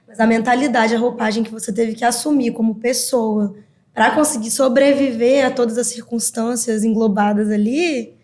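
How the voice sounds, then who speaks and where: A woman hosting a podcast, thinking out loud and summing up what her guest has said in a conversational way. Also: on the high side, steady tone, normal speed. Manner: reflective, sums up the guest's points. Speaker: podcast host. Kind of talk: conversational interviewing.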